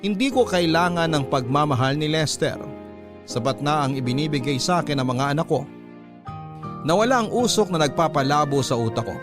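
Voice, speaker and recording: A man's voice: a little high, lively intonation, brisk. Male radio storyteller over background music. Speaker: host and narrator. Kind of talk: dramatic first-person story narration reading a letter.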